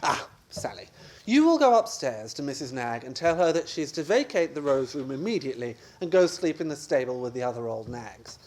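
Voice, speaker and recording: A man's voice: mid-range voice, sing-song, a little fast, darkish tone, natural voice. A man playing an exasperated, sarcastic, scheming husband in a comic radio play, blustering and wry in a theatrical way. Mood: bossy, defiant.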